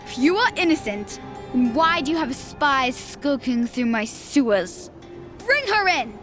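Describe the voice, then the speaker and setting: A haughty young boy emperor speaks coldly from his throne, as an actress voices him. The voice is high and imperious. Quiet music sits underneath. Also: sing-song, unhurried, exaggerated voice. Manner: imperious, cold. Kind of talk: video game cutscene acting.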